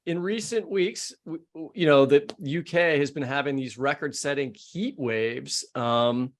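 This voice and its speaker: An American man hosts a podcast in a casual, halting way, rephrasing his questions as he goes. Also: on the high side. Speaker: podcast host. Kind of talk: casual interview chat.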